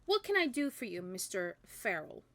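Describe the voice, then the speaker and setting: A woman reading the part of a friendly, brisk shopkeeper mother with a wry streak, in a storybook narration. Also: mid-range voice, sing-song, fairly bright tone. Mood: playfully wry.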